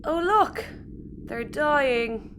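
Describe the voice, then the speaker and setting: A woman's voice: high voice, animated, very slow, a bit bright, slight background noise, exaggerated voice. A woman playing a motherly, blithe spaceship crew member in a sci-fi audio comedy.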